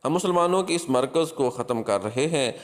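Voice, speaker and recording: A man's voice: medium pitch, expressive, normal speed, natural voice. A man voicing a defiant captured spymaster, heavy reverb. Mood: ominous, prophesying. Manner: solemn, declamatory, emphatic address. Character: captured crusader spymaster, coolly defiant, prophesying to his captor. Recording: long hall-like reverb.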